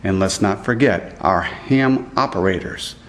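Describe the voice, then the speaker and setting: A man narrating a scripted report as a student reporter, reading aloud in a deliberate way. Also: low voice, a bit bright, slight background noise.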